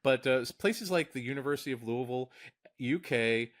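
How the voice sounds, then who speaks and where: A man, talking as a podcast co-host and diagnostician. He stammers through restarts and laughs easily, his voice sitting at a medium pitch for a man. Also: fairly quick. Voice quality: deep voice. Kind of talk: casual podcast chat.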